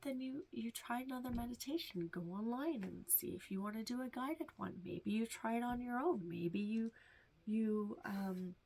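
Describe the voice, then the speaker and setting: Stuffy-nosed woman walking you through meditation, nasal, soft, a bit of gravel in the voice. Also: a little high, animated, moderate pace. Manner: soft, reassuring, drifts into whispers. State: stuffed-up nose. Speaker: meditation teacher. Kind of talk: casual instructional talk.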